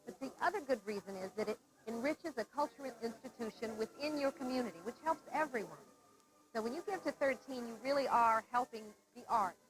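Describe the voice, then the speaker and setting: A woman with a fairly high voice makes an earnest pledge-drive appeal. She is persuasive and thanks viewers, and she spells out phone numbers with care. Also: lively intonation, a little fast, low-quality recording. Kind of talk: fundraising appeal.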